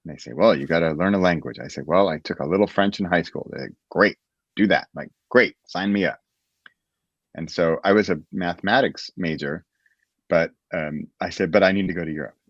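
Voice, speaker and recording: A man's voice: low-pitched, brisk, no room sound, muffled recording. An American man chatting as a podcast guest, telling stories with frequent restarts and rambling asides. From United States. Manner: rambling, restarts often, storytelling. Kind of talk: casual interview chat.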